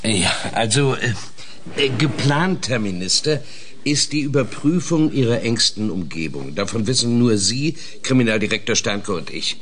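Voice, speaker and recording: A man's voice: medium pitch, animated, moderate pace, fairly bright tone, natural voice. A man playing a methodical investigator in a German radio play, hesitant at first, then explaining pedantically. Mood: businesslike. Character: methodical male investigator, specialist in traps.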